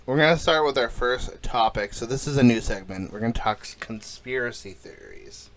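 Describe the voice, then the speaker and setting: A man's voice: medium pitch, animated, moderate pace, a bit bright, slight background noise. Man, young adult, podcast co-host, rambling, crude humor, casual. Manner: rambling, crude joking, many fillers. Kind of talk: casual chat.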